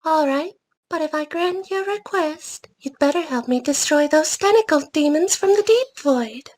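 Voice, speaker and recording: A woman's voice: high voice, expressive, moderate pace, darkish tone. A woman voicing an ancient, grave and commanding goddess in a fantasy table read, formal and solemn.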